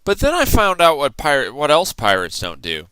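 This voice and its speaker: A man reading a children's picture book aloud as a storyteller, with expressive, theatrical phrasing. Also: on the high side, normal speed, clean tone, dry recording.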